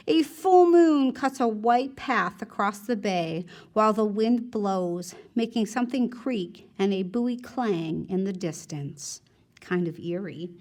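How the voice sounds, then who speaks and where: Woman, fairly high pitch, teacher, reading fiction aloud, conversational, expressive. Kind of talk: read-aloud storytelling with brief teaching asides.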